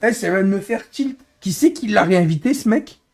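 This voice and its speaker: A hoarse man who is losing his voice, grumbling in exasperation as he comments live on his racing game stream. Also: high voice, lively intonation, normal speed, a little mellow. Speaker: streamer and racing league head.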